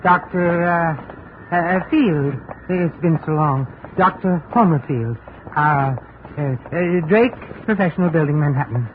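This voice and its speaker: A weary, wounded man on the run , played by a male actor in vintage radio drama. His voice sits a little high and comes haltingly, with sentences trailing away. The sound is muffled and narrow, with faint noise. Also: natural voice. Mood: agitated. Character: weary runaway husband faking his death, wounded and halting. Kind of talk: 1950s radio drama acting.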